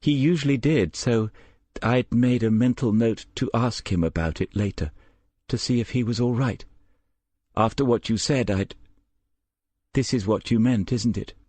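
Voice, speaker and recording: A man's voice: medium pitch, a little mellow, no room sound, voice pushed up, close to an everyday voice. A man voicing a stunned, hesitant woman manager in an audiobook. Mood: stunned, halting. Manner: halting, stunned. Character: shaken, hesitant woman care home manager.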